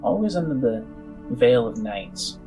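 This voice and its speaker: A man voicing a weary, secretive halfling woman spymaster in a tabletop game, speaking in measured, formal phrases. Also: medium pitch, big pitch swings, music in the background, poor audio. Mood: grave, secretive.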